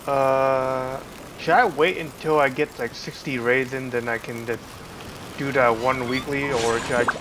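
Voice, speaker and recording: A man's voice: mid-range voice, steady tone, a little slow, a bit bright, slight background noise, low-quality recording. A man chatting casually on a game livestream, rambling and thinking aloud. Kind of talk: casual livestream chat and reactions. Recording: game music behind.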